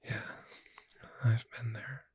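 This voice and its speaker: A performer voicing a friendly witch bookseller in a soft-spoken ASMR roleplay, gentle, unhurried and lightly wry in a breathy near-whisper. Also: dry recording, close to an everyday voice. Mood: gentle, persuasive.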